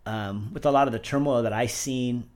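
A man's voice: mid-range voice, fairly quick, darkish tone. Man, forties, podcast guest, easygoing, filler-heavy casual talk. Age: 40s. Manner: easygoing, many fillers and uh's. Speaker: podcast guest, gym owner and trainer. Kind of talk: casual interview chat.

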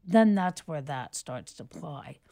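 A middle-aged woman, a photographer and filmmaker, telling long stories in a relaxed interview, rambling with many restarts and "you know"s. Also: deep voice, a little slow, gravelly. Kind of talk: relaxed conversational storytelling.

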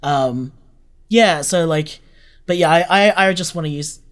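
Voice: mid-range voice, measured pace. A podcast producer talking casually and effusively as an interview guest. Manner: effusive, gushing.

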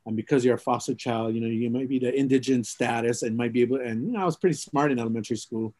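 A man's voice: mid-range voice, quick pace, a little mellow, dry recording. A middle-aged man from Hawaii, telling his life story in an oral history interview, rambling and reflective with many fillers and restarts.

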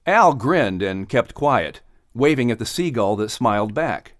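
A man's voice: medium pitch, lively intonation, measured pace, dry recording, clean studio sound. A man reading a children's story as a scripted audiobook narration.

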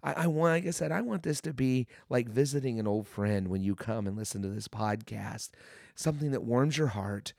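A man with a hoarse voice from a cold, hosting a devotional podcast in an earnest, encouraging way and often repeating his phrases. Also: medium pitch, animated, normal speed, darkish tone, no room sound, clean studio sound. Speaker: podcast host.

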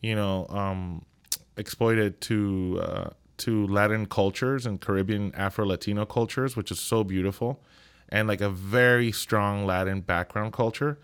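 A man, speaking as an interview guest. His voice sits a little on the low side, and he tells his stories in an animated way, full of fillers and restarts. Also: unhurried, clean tone. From Puerto Rico. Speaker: guest cinematographer. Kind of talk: casual interview chat.

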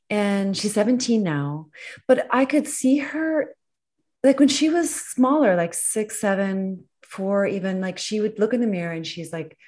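A woman hosting an interview, an affirming listener who muses aloud in casual conversation. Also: mid-range voice. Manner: warm, affirming listener, frequent "mm". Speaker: host.

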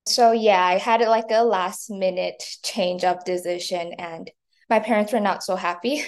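A woman's voice: medium pitch, fairly even intonation, unhurried. A woman in her early twenties from Taiwan and China, chatting through casual interview answers and often saying "like". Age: early 20s. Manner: chatty, frequent "like". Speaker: interview guest, marketing intern and university student.

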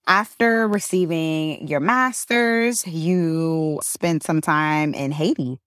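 Host: woman with a low-pitched voice, encouraging interviewer, dry close recording. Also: takes it slowly, fairly bright tone, no echo. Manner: encouraging, prompting with short affirmations. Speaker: podcast host. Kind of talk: interview questions.

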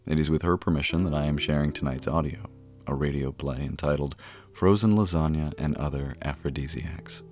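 Very deep-voiced male podcast host. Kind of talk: measured podcast introduction and interview.

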